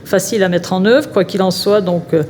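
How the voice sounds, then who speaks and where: A woman's voice: mid-range voice, brisk, a little mellow, a little hiss or hum. A woman giving plain, hesitant feedback in an interview.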